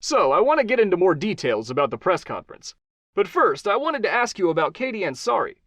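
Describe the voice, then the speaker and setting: A man voicing a charming, stylish male talk-show host who jokes and flatters his guest. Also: sits high, brisk, no room sound, pitched above the performer's own voice, heavily acted. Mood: jovial. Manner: jovial, chummy, playful.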